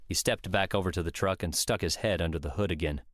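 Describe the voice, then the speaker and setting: A man's voice: low-pitched, fast talker, fairly bright tone, dry recording. A man reading scripted story narration for an audiobook.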